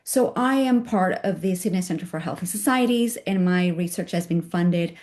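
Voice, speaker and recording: A woman giving an academic talk over a video call, her voice raspy from a cold, speaking with some fillers. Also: lowish voice, normal speed, a little mellow. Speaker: guest speaker. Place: home at night, joining remotely.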